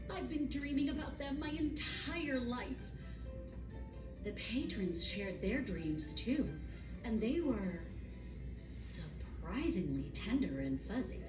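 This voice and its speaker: Female storybook narrator, unhurried and animated, recorded at a distance in a roomy space over music. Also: on the low side. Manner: expressive storytelling. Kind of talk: scripted bedtime story narration.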